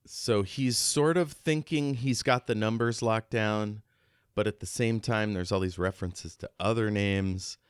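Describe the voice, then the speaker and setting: A man's voice: fairly low pitch, steady tone, unhurried, clean tone, no room sound, clean studio sound. A man co-hosting a podcast, casually discussing a book in a reflective, slightly hesitant way. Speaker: podcast co-host.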